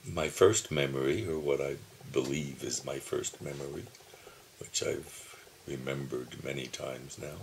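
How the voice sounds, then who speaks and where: A man in his seventies, reminiscing slowly about his childhood, pausing often with soft chuckles, who calls his own voice dull. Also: very deep voice, fairly even intonation, vocal fry, a little hiss or hum. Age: 70s. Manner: slow, musing, with soft chuckles and pauses. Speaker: video diarist. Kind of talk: reflective personal reminiscing. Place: home, seated at his computer. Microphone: computer camera mic.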